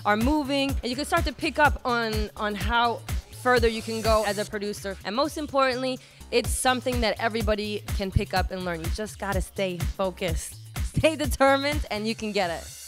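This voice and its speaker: Higher-voiced female music producer. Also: normal speed. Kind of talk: interview answer.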